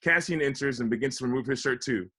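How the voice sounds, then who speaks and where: A man reads screenplay stage directions as a narrator at a table read. His pitch sits in a middle range, and the delivery is scripted narration. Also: fast, pushed and bright, husky. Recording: online video call table read.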